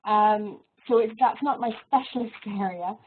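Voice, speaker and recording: Lecturer woman with husky voice, tinny line. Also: medium pitch. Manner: friendly, measured, with soft laughs and fillers. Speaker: university lecturer giving a webinar. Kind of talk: online teaching webinar. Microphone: video call microphone. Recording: tinny phone-like audio.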